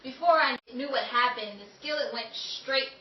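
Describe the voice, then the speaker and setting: Woman acting a naive, high-voiced 1930s comic sidekick. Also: moderate pace, lo-fi sound, natural voice. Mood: defensive. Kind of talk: old-time radio comedy acting. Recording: roomy, distant, noisy amateur recording.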